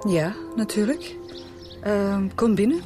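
A woman's voice: medium pitch, lively intonation, slow pace, over music. A woman playing a polite, forthcoming wife at home in a radio drama, with a Flemish accent.

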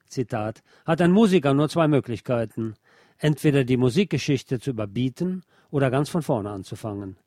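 A man reading a scripted music feature as a radio presenter, in a measured, articulate, literary manner. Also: medium pitch, darkish tone, dry recording, studio quality. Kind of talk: scripted narration.

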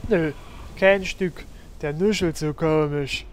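An actor playing a dim, blustering paladin in a comedic fantasy radio play, blunt and slow-witted. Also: male voice, a little high, a little hiss or hum. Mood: dopey.

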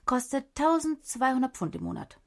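An actress playing a brash, sharp-tongued special agent in a cyber thriller radio drama, husky and creaky, with a sarcastic, commanding manner. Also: female voice, sits high, huge pitch swings, normal speed, exaggerated voice. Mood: threatening, commanding. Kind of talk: German radio drama acting.

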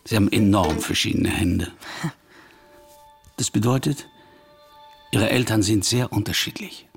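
Authoritative palm-reading analyst in a radio play: very unhurried, gravel-edged, certain of every verdict. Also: male voice, lowish voice, faint music behind. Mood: clinical pronouncing. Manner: deliberate, declarative, authoritative. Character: commanding, probing male palm-reading psychoanalyst, self-assured.

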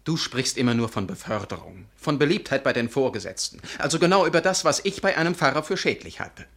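A man's voice: medium pitch, big pitch swings, fairly quick, gravelly, a bit bright, exaggerated voice. A man playing an earnest, pious and touchy young pastor in a radio drama, solemn and intense. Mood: stern, righteous. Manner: solemn, intense, given to sudden outbursts. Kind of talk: German radio drama acting.

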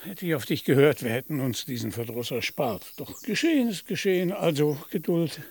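An actor playing a pompous, deluded old knight-errant in a radio play, lofty and declamatory. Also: male voice, fairly high pitch, lively intonation, brisk, darkish tone, husky, no room sound. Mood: lofty, resigned. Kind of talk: German radio play acting.

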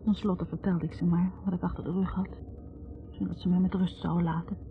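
An actress playing a wounded, bitter young academic woman confessing in a halting, accusing way in a 1960s radio drama. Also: fairly low pitch, level tone, vocal fry, music in the background. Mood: bitter, weary. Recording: tinny narrow-band 1960s radio recording.

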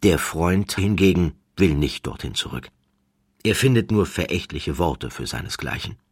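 A man narrating a literary story from a script in a measured, dramatic way with deliberate pauses, close to the microphone. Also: low-pitched, animated, husky, no echo. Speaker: audiobook narrator.

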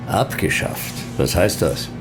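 A man playing a laconic private detective in a sci-fi radio play, narrating in clipped, deadpan with a gravelly voice. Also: slow pace, over music, lightly acted, poor audio. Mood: wary, sceptical. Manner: clipped, dry, deadpan delivery. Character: Jonas, laconic hardboiled male private detective narrating his case.

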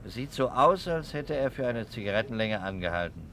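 An actor playing a measured, sceptical fire investigator in a 1960s German radio crime drama, speaking with deliberate understatement. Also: male voice, mid-range voice, lively intonation, fairly bright tone, a little hiss or hum.

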